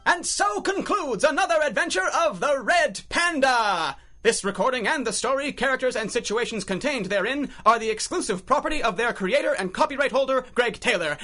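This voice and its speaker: High-pitched, bombastic radio announcer. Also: male voice, expressive, a little fast, sharp tone, faint music behind, exaggerated voice. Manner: bombastic, theatrical. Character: excited old-time radio serial announcer. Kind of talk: 1930s-style radio announcing.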